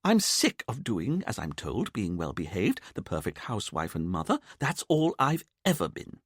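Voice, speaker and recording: A man voicing a free-spirited middle-aged mother who talks in a lively, breezy, defiant way. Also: on the low side, moderate pace, slightly rough, no room sound, clean studio sound, full character voice.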